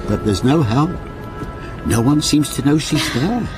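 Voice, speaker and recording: An actor playing a courteous, softly insistent storyteller and train passenger in a radio ghost story, with a measured, solicitous way of talking. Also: male voice, mid-range voice, lively intonation, music in the background, natural voice. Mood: atmospheric, suspenseful.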